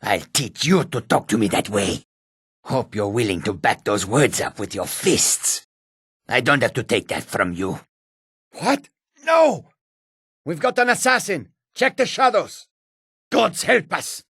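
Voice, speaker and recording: An actor playing a shrewd, proud cat-folk warrior and trader in a fantasy video game, with a husky, rasping, theatrical delivery. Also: male voice, mid-range voice, very animated, unhurried, fairly bright tone, dry recording, studio quality, heavily acted. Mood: threatening, then frightened, alarmed.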